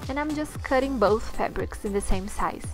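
Young Brazilian woman, fairly high voice. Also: lively intonation, music in the background. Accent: Brazilian Portuguese. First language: Portuguese. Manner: chatty, slightly halting non-native phrasing. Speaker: sewing channel host. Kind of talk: friendly sewing tutorial talk and voiceover.

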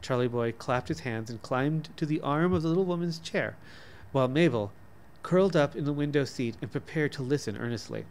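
A man's voice: mid-range voice, lively intonation, fairly quick. A man in his forties reading a short story aloud expressively, sometimes stumbling and rereading words. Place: small office, indoors. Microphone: desk microphone in front of him.

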